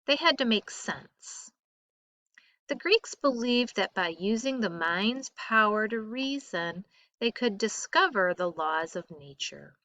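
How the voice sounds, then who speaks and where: A woman teacher reading a school textbook aloud in a deliberate, emphatic way. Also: mid-range voice, expressive, sharp tone, no echo.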